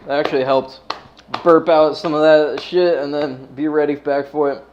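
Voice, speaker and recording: An American man with a slightly high-pitched voice hosts a casual livestream. He talks in a loose, laid-back stoner way, full of slang. Swearing comes freely as he chats. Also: fairly even intonation, normal speed, darkish tone, dull audio, a little hiss or hum. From United States. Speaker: livestream host.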